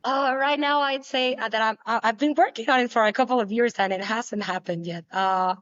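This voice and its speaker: A young woman whose native language is Spanish, answering interview questions casually, with many halting restarts. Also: on the high side, fairly bright tone, no room sound, dull audio. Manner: halting, repeats words, laughs often. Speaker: guest, pole dancer.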